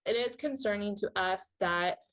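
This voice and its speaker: A woman, a health supervisor, giving a measured interview answer. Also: medium pitch, a bit bright.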